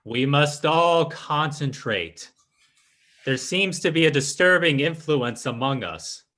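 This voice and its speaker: Man playing a sharp-voiced, solemn séance mystic. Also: a little high, lively intonation, exaggerated voice. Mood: solemn, mystical. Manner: solemn, incantatory, deliberate. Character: solemn, mystical male séance medium, theatrical. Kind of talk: old-time radio acting.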